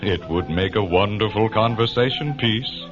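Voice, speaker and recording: An actor playing a genteel, hinting off-world trade delegate in a radio drama, suave and hesitant. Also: male voice, lowish voice, normal speed, lightly acted. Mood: smooth, coaxing. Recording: dull vintage radio sound with music behind.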